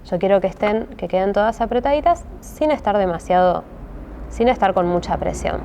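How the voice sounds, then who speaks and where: A woman's voice: medium pitch, animated, normal speed, gravelly, dark tone, dull audio, slight background noise. A woman with a Rioplatense Spanish accent teaching a step-by-step craft tutorial, patient and checking in often with her listeners. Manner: patient, with frequent "¿sí?" check-ins and many hesitations.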